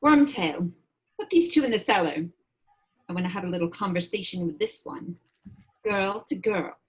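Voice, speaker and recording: A woman playing a menacing, imperious witch villain in a script read, taunting with mock-sweet endearments and drawn-out words. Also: medium pitch, expressive, breathy edge. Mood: imperious, probing. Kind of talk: table read of a film script.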